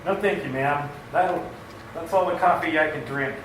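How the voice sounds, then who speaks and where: A man acts out a persistent, folksy policeman from an old-time radio play. He is chummy and eager, with a slightly high voice. The sound is echoey and away from the mic. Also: moderate pace, fairly bright tone, close to an everyday voice. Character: eager, chummy male policeman and would-be playwright. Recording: distant, roomy, slightly noisy recording.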